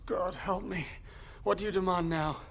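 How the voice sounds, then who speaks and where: A man's voice: high-pitched, steady tone, normal speed, vocal fry, fairly bright tone, full character voice. An actor playing a desperate, impatient prisoner in a period audio drama, anguished and formal in his diction. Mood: desperate, weary. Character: desperate, impatient male prisoner begging for freedom.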